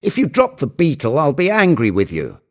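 A man voicing an excited, bossy, obsessive character who gives urgent orders in an agitated storybook tale. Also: a little high, brisk, pitched above the performer's own voice. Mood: stern. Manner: imperious, agitated, exclaiming.

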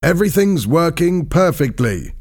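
A man's voice: on the high side, very animated, moderate pace, voice pushed up. A man voicing an exclamatory, urgent male scientist diver in an audiobook story. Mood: upbeat. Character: male scientist diver, eager then alarmed and impatient.

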